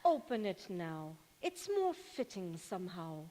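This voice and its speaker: A woman's voice: fairly low pitch, very animated, unhurried, dark tone. A woman acting an old maidservant, grave and devoted, in an old-time radio drama on a stage. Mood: gruff fondness. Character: old Scottish maidservant and former nanny, dour and devoted. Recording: echoey church hall stage recording.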